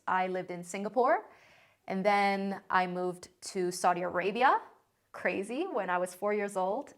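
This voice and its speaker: A young woman in her twenties speaks with an American accent, relaxed and conversational as she addresses her viewers. Her talk is chatty and upbeat, sprinkled with "like" and "um", sitting at a mid-range pitch for a woman. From China. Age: 20s. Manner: chatty and upbeat, frequent "like" and "um" fillers. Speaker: content creator. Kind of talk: casual vlog talk to camera.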